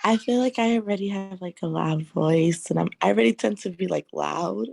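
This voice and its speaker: A woman's voice: mid-range voice, a little fast, vocal fry, a little mellow, no echo. A young woman, a college student, talking casually on a video call with lots of likes. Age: young adult. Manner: casual, full of likes, laughs easily.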